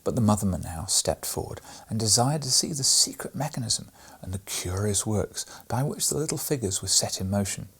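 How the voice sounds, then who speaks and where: A man reading a classic children's story aloud as a bedtime audiobook, narrating softly and often in a breathy, whispered way. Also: on the low side, expressive, normal speed.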